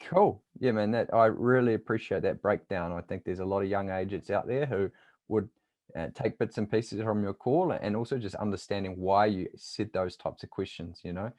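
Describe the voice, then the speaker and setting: A man with a New Zealand accent and a lowish voice talks casually. He comes across as an informal, matey interviewer and coach in an everyday conversation. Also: normal speed, a little mellow, dull audio.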